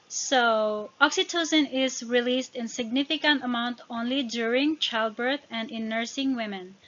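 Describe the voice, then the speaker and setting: A woman with a Filipino accent and Tagalog and Visayan as first languages, narrating an informal lesson from notes and halting over technical words. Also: on the high side, a bit bright, highs cut off. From Philippines. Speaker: educator.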